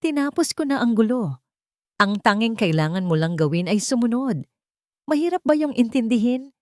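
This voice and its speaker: A woman's voice: a little high, sing-song, moderate pace, darkish tone, dry recording, clean studio sound, pitched above the performer's own voice. A woman with a Filipino accent voicing a cruel, controlling rich man in a dramatic story, domineering and demanding. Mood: menacing, demanding. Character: cruel, controlling rich man bullying his captive beloved.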